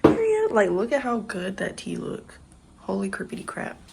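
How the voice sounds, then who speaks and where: A woman with a slightly raspy morning voice chatting casually to viewers as a vlogger, slangy and playful, with some swearing and emphatic catchphrases. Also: medium pitch, sing-song, normal speed, heavy background noise. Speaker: vlogger hosting a sip-and-chat video. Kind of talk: casual rambling chat to viewers. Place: indoors at home. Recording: roomy, echoey home sound.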